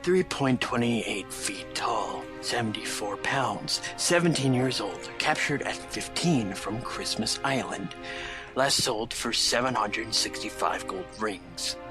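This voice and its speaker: A man voicing a stern, matter-of-fact male hedgehog anti-hero in a fan fiction audio drama, with measured, clipped delivery. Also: fairly low pitch, expressive. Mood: flat, formal. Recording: music behind the voice.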